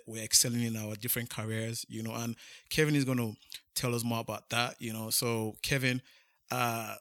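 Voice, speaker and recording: A man's voice: medium pitch, a bit bright, dry recording, clean studio sound. A man hosting a podcast interview who talks freely and leans heavily on "you know" and repeated restarts. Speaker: podcast host.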